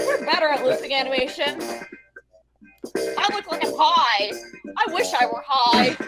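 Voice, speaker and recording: Very high, animated, exasperated woman. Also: measured pace, loud music behind the voice. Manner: exasperated, absurd riffing. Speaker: fandub voice actor. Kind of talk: improvised live dubbing banter.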